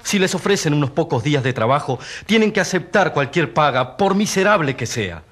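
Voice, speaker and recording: An actor playing an earnest country doctor testifying in a courtroom radio drama, explaining with grave, emphatic concern. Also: male voice, a little high, expressive, fairly quick, a bit bright. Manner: emphatic, expository. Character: earnest doctor witness, long among peasants, gravely concerned.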